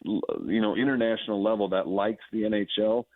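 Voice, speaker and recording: A tinny, phone-like connection carries a fairly low American male voice. He is a sports anchor guesting on radio, effusive and conversational, often repeating and rephrasing to build a point. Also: fairly quick, vocal fry, no room sound. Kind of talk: conversational sports analysis interview.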